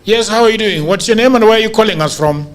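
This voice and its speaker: A man's voice: high-pitched, quick pace. A man with a Kenyan accent hosting a political call-in panel show, mixing English and Swahili. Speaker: host of a political talk show with call-ins.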